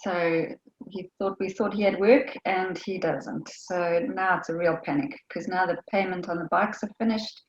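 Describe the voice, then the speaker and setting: A woman's voice: lowish voice, fairly even intonation, normal speed, reverberant, lo-fi sound. A woman on a group call, stressed about money and wryly self-mocking about it. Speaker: group participant. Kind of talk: casual chat on a group call.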